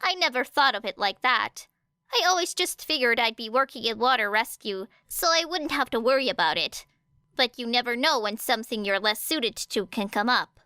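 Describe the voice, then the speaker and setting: Woman voicing a bright, chatty teenage girl. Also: a little high, lively intonation, normal speed, clear, resonant tone, no room sound, studio quality. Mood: friendly, thoughtful.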